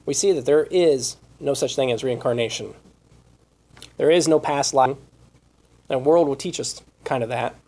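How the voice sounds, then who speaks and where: A man preaching a Bible study sermon, reading scripture aloud and asking rhetorical questions, with his voice going hoarse. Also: medium pitch, normal speed, pauses often, warm tone. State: losing his voice.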